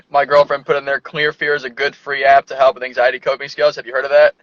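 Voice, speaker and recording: A man's voice: medium pitch, flat delivery, fairly quick, fairly bright tone. A man speaking as a guest in a casual chat, loose and chatty, filling his speech with "like". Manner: loose, chatty, heavy use of "like". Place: inside a parked car. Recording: dry, dull lo-fi sound in a car.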